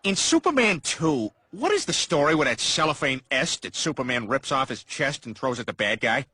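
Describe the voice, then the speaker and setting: A man voicing an awkward, over-earnest, hesitant figure in an animated sitcom. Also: medium pitch, normal speed. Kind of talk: animated sitcom comedy acting.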